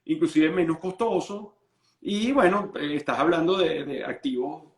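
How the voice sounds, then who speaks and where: A Venezuelan-born lawyer explaining estate planning in an interview, patient and full of restarts. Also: male voice, on the high side, moderate pace, reverberant. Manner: explains patiently, many restarts and repeated words.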